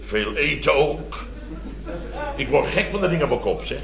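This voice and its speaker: An actor playing a blustery, boastful middle-aged building contractor in a radio comedy, rambling and exclamatory. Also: male voice, on the high side, lively intonation, moderate pace, vocal fry, fairly bright tone. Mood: nostalgic boasting. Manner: rambling, exclamatory, constant tag words. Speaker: comic lead actor. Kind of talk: 1970s radio comedy acting. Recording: dull old radio recording, roomy, audience laughter.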